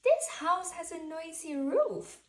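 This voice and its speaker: Casting: woman to play sleepy, fretful storybook owl, high-pitched, emphatic, increasingly exasperated, very animated. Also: slow pace, exaggerated voice. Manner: emphatic, exclamatory. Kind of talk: children's storybook character voice.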